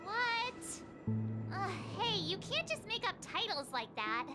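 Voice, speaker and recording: An actress voicing an excitable, perky young girl traveler in an English video game. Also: very high-pitched, slow pace, heavily acted. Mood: indignant surprise. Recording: loud game music behind.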